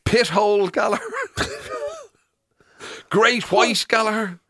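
A bright-voiced Irish man co-hosts a radio show in casual, joking banter. His pitch runs fairly high and swings around a lot, and the sound is close and dry. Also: huge pitch swings, slow, breathy edge, no echo.

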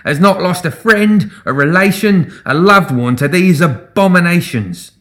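A man's voice: sits high, animated, a little slow, fairly bright tone, pitched above the performer's own voice. A grizzled male firebrand from a fantasy audiobook, acted out by a male narrator. He orates in a furious, raised roar, spluttering with outrage. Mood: rousing outrage. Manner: roaring, spluttering oratory. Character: grizzled furious male rabble-rouser, slum spokesman.

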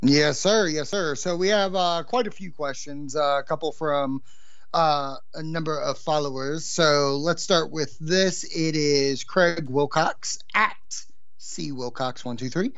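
A male co-host chatting on a podcast, agreeable and quick to back up his partner. His voice sits in a middle range. The sound is dry and a bit dull. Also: a little high, a bit bright, smooth, dry recording. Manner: agreeable, short replies. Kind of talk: casual podcast chat.

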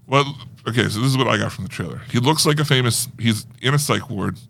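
A man's voice: medium pitch, fast talker, gravelly, fairly bright tone. A man co-hosting a podcast, talking casually in a movie review discussion. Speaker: podcast co-host.

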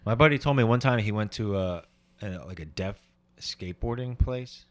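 Male comedy podcast co-host, lowish pitch, casual crude storyteller. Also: normal speed. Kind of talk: casual comedy banter and anecdotes.

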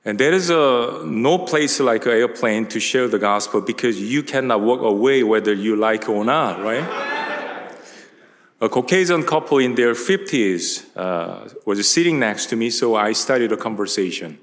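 A man in his fifties from South Korea with a Korean accent, preaching a relaxed, conversational sermon with many filler words. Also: medium pitch, moderate pace. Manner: relaxed, conversational, frequent uh and you know fillers. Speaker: pastor. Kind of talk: sermon with personal storytelling. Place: large church sanctuary at a pulpit. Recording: long hall reverb.

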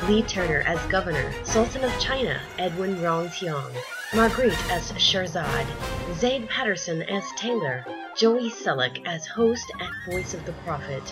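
Lower-voiced woman reading credits over music. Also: poor audio. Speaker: credits announcer.

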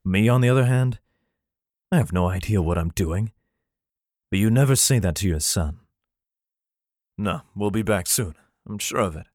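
A man's voice: lowish voice, moderate pace, long pauses, a little mellow, no room sound, close to an everyday voice. An actor playing an anxious, haunted father in a sci-fi audio drama, with an intense, theatrical delivery. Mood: weary, tense. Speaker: lead actor and narrator.

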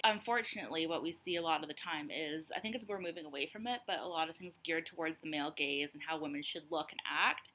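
A woman's voice: fairly low pitch, sharp tone, low-quality recording. A young Canadian woman, a podcast guest, talking candidly and assertively in casual conversation. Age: young adult. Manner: candid, calls herself assertive.

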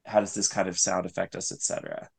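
A man's voice: deep voice, flat delivery, quick pace, vocal fry, breathy edge. A man speaking as an interview guest, explaining his creative thinking in an earnest, discursive, analytical way. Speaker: interview guest, audio drama writer. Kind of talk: reflective interview talk about craft.

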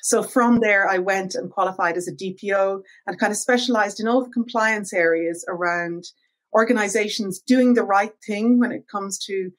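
Irish woman, articulate, measured, podcast guest. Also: mid-range voice, poor audio. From Ireland. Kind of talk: interview answers.

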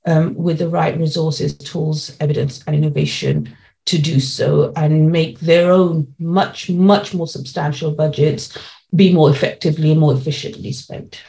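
A woman giving explanatory interview answers as a policy lead, laying out points in measured, formal terms. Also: low voice, fairly even intonation, vocal fry, warm tone, low-quality recording.

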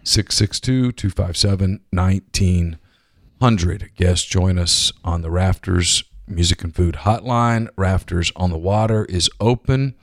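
Man, very low voice, chatty, dry studio sound. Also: a little slow, dry recording. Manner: chatty, rambling. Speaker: podcast co-host. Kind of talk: casual sports talk and live ad reads. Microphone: studio microphone.

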